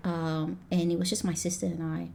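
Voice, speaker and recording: A woman in her thirties from Malaysia talks as a podcast guest, slightly low in pitch. Her accent slides between Australian, British and American. She rambles easily, full of fillers and quick restarts. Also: level tone, creaky, mellow. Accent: mixed Australian, British and American. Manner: chatty, laughing, frequent fillers and restarts. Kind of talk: casual chat.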